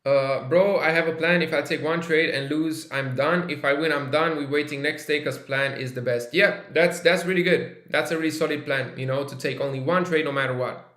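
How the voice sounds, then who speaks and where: A man from Romania talks through a live trading stream, relaxed and thinking aloud with plenty of fillers. His voice is bright and forward. The room around him sounds echoey. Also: on the high side, brisk. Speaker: live-stream trader host. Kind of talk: casual live-stream chat, reading viewer questions.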